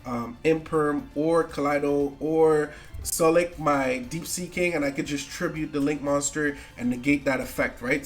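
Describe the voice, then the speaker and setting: A young man with a congested, nasal voice from a cold, chatting loosely and slangily about card game decks as a channel host, often tagging "right?" onto his sentences. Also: a little high. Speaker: gaming YouTuber.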